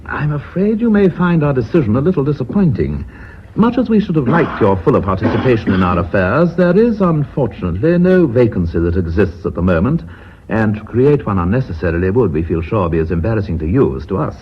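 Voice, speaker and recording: An actor playing a formal, courteous board chairman in a British radio drama, measured and slightly hesitant, with an English accent. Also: medium pitch, big pitch swings, close to an everyday voice. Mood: smooth condescension. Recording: muffled, faintly noisy old radio broadcast.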